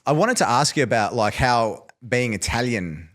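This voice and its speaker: A man hosting a casual interview, relaxed and mostly chiming in with short agreements. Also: medium pitch, moderate pace. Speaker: podcast host.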